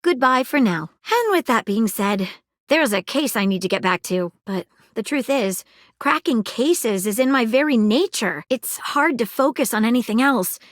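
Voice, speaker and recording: A woman voicing an earnest, flirtatious female detective in a video game, with formal, theatrical, deliberate phrasing. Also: on the high side, lively intonation, no room sound, studio quality, lightly acted. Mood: earnest, gently firm. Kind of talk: scripted game character dialogue.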